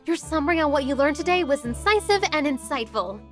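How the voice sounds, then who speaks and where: High-pitched, sensible young detective. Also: female voice, expressive, fairly bright tone, soft background music. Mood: earnest. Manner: articulate, measured, earnest. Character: sensible, articulate young female detective, cautious and bookish.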